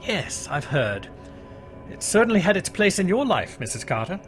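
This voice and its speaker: An actor playing a shrewd, coolly polite British police inspector in a radio drama, speaking in a measured, formal, insinuating way. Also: male voice, high voice, very animated, faint music behind. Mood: polite probing. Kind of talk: old-time radio drama acting.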